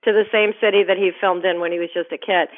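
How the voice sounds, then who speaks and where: Woman film critic on a phone line; brisk, enthusiastic delivery, lo-fi phone audio. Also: on the low side, fairly even intonation. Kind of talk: phone-in movie reviews.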